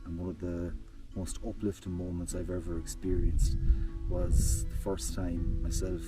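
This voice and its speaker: A young Irish man with a very low voice talks in a reflective, halting way, restarting his sentences and searching for words. He sounds set back from the mic, with loud guitar music playing under him. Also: flat delivery, far from the mic, rough recording. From Ireland (rural Galway). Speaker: interview guest. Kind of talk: casual conversational interview. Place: outdoors on a hillside.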